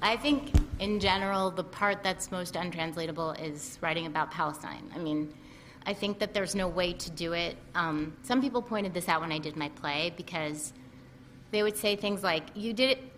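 A woman's voice: lowish voice, normal speed, fairly bright tone, a little hiss or hum. A woman with a Lebanese-American accent, talking casually as an author at a book talk, chatty and full of restarts.

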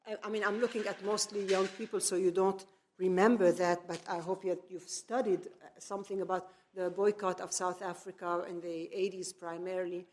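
A middle-aged woman from Lebanon giving a semi-improvised public lecture, phrasing deliberately and stressing key words. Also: lowish voice, little pitch movement, darkish tone. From grew up in Lebanon. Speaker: speaker, activist lecturer.

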